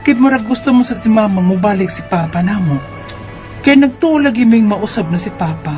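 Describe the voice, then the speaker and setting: A woman's voice: medium pitch, lively intonation, a little fast, darkish tone, soft background music, lightly acted. A woman with a Cebuano Filipino accent playing a hurt but caring young daughter in a Bisaya radio drama. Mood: reflective, sad.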